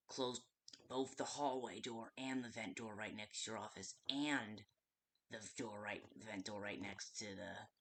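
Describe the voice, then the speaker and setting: A performer playing a hesitant, nervous guide in a game, giving survival instructions in a halting, often hushed voice. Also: mid-range voice, husky. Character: hesitant, nervous guide talking a night-shift survivor through danger. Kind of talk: game tutorial monologue.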